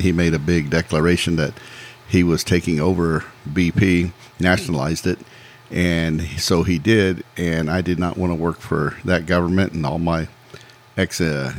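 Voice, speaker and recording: A man in his sixties, from Peru, recounting his life in a measured, plainspoken way as a podcast guest. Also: low voice, slight background noise. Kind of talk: casual interview storytelling.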